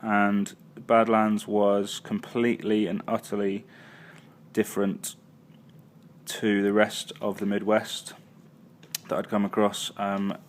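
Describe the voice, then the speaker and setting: A man's voice: fairly low pitch, completely flat delivery, slow, a little hiss or hum. A man casually recapping his travels for a vlog, full of ums and uhs and self-corrections. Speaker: travel vlogger. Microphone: phone or handheld camera.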